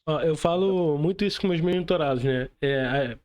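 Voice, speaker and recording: A man's voice: on the high side, normal speed, darkish tone, smooth. A male podcast host with a Brazilian accent, talking casually in slang and repeating points for emphasis.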